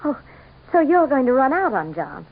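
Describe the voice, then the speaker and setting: An actress playing a proud, scornful governor's wife in a radio drama, with a haughty, melodramatic manner. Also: female voice, sits high, sing-song, normal speed, narrow, tinny audio. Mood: indignant. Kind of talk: 1970s-style radio drama acting. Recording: thin, band-limited old radio broadcast.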